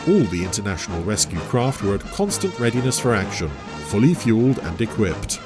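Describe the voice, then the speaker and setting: A man's voice: on the low side, big pitch swings, fairly quick, over music. A man reading a scripted adventure story as its narrator.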